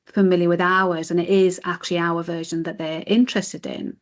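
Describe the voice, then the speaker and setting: British woman guest in an interview, bit of a low voice, chatty but earnest explainer. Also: flat delivery, fairly quick. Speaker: interview guest, chief executive of a mindfulness charity. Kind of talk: conversational interview answers.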